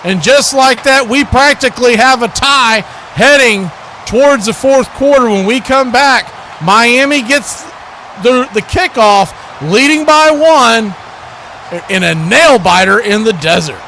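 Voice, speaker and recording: A man's voice: extremely high pitch, animated, a little slow, pushed and bright. An American man giving live play-by-play commentary of a football game as the host of a stream. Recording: clear background noise.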